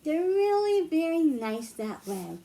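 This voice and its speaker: American female children's librarian, high register, slow delivery, child-directed read-aloud and simple teaching. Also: big pitch swings, vocal fry, warm tone. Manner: simple, child-directed phrasing with questions to listeners. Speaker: children's librarian hosting storytime.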